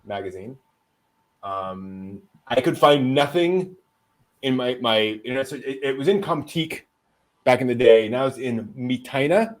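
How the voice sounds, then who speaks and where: A man's voice: medium pitch, animated, a little slow. A man hosting a casual news rundown with co-hosts, talking in a hesitant, conversational way. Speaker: host presenting anime news.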